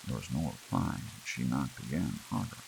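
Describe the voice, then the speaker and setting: A man reading a story aloud as an audiobook narrator, in a relaxed bedtime style. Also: rumbling low, moderate pace, vocal fry, rough recording. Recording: faint hiss and rain sound. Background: soft rain.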